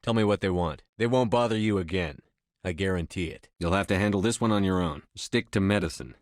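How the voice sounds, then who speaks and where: A man playing a tough, resolute military space commander in a video game, speaking in a terse, commanding, measured way. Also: low voice, no room sound, clean studio sound, close to an everyday voice. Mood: businesslike, calm. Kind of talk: scripted video game dialogue.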